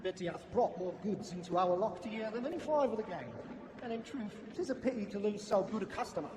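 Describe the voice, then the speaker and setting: A man's voice: high-pitched, lively intonation, brisk, background noise. An actor playing a deferential errand man for thieves in a period stage play, speaking in a declamatory theatrical way. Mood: earnest, reporting. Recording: echoey theater hall, loud music behind.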